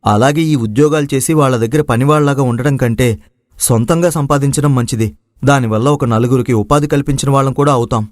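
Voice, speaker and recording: A man's voice: mid-range voice, a little fast, dry recording, clean studio sound, lightly acted. A man acting an earnest, aggrieved young village son in a moral story drama. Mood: earnest, hopeful. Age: young adult.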